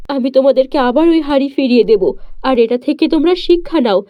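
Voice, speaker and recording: A woman's voice: high-pitched, expressive, fairly quick, dry recording, dull audio. A woman voicing a kind, wise female fairy who speaks in an earnest, admonishing way.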